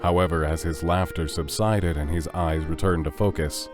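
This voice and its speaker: A man's voice: deep voice, fairly even intonation, moderate pace, over music. A man giving scripted narration for an audio drama.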